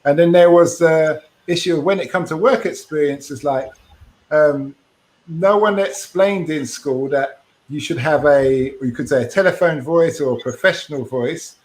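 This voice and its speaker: A man's voice: a little high, a little slow, darkish tone, poor audio. A man co-hosting a podcast, chatting casually and thoughtfully over a video call, often restarting his phrases. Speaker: podcast co-host.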